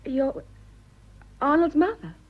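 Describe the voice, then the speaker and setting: A woman's voice: sits high, big pitch swings, slow pace, full character voice. An actress with a British accent playing an earnest, hesitant, idealistic young wife in her twenties in a radio drama. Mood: nervous. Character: romantic, idealistic, nervous young English wife of twenty-five. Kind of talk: stage comedy radio drama acting. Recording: slightly dull, lo-fi audio.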